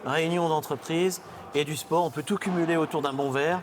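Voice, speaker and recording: A man's voice: a little high, fairly even intonation, brisk. A man hosting an informal, chatty interview, putting questions conversationally. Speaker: television interview host. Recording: clear background noise and ambience.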